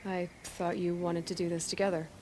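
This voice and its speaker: An actress playing a tough, self-assured young huntress in a video game, speaking in a dry, sardonic way. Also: female voice, fairly low pitch, little pitch movement, brisk, dark tone, a little hiss or hum, natural voice, poor audio. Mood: businesslike. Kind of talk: cinematic game dialogue.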